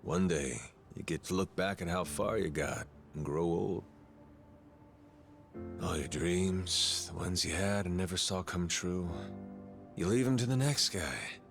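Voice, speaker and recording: An actor playing a spirited aging gangster turned pirate captain in a video game, with slangy, folksy, drawling talk. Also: male voice, sits low, unhurried, vocal fry, faint music behind. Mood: reflective. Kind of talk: video game cutscene acting.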